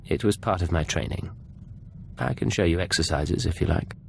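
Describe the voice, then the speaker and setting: An actor playing a cool, controlled secret agent in a video game, speaking in a measured, suave way. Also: male voice, deep voice, gravelly, slight background noise. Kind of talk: scripted video game acting.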